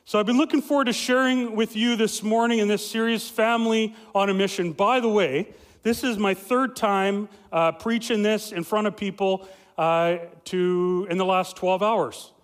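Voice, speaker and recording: A young adult man, a family pastor, preaching a loud, lively sermon with many fillers and restarts. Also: high voice, normal speed, clean tone. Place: church sanctuary. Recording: reverberant church hall.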